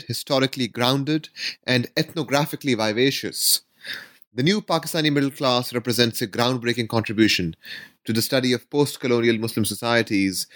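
A man's voice: medium pitch, fairly bright tone, dry recording. A man hosting an academic book podcast, reading a formal introduction and then asking questions with many uhs. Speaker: podcast host, interviewer.

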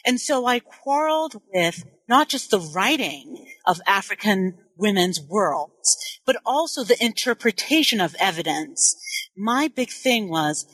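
A woman in her forties, a historian born in Nigeria and a native Igbo speaker, telling her story in an interview with measured, thoughtful speech. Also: mid-range voice, very animated, a bit bright, slightly rough, no echo, low-quality recording. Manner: thoughtful, self-correcting, measured. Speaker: historian and author. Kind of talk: interview, personal account.

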